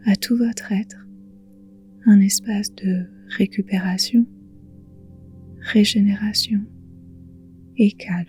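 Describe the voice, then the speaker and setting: A woman guiding a relaxation meditation in a soothing, hushed, breathy voice, leaving long pauses between phrases. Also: mid-range voice. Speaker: yoga nidra guide.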